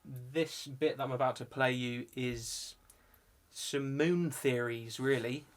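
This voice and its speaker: A young adult man presents a casual vlog. His voice sits at a middle pitch, and he talks in an informal, chatty way. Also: steady tone, slow pace. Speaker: video channel host. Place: indoor music studio.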